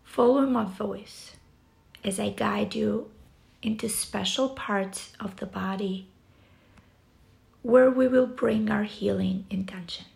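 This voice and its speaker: A woman leads a guided meditation very slowly, leaving long pauses between phrases. Her voice is soft and breathy, with heavy vocal fry running through it. The pitch sits in a middle range for a woman, and the delivery is soothing. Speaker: yoga nidra guide.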